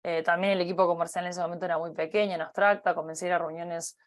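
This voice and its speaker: A woman in her thirties with a Uruguayan accent, giving a personal career talk, pausing often with fillers and restarting her phrases. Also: lowish voice, flat delivery. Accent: Uruguayan Spanish. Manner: hesitant, many fillers and restarts. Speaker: company partner giving a talk.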